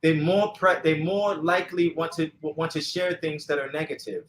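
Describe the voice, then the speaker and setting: Man with a high voice, earnest casual host, roomy echo. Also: normal speed. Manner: earnest, seeks agreement. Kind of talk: casual conversational talk.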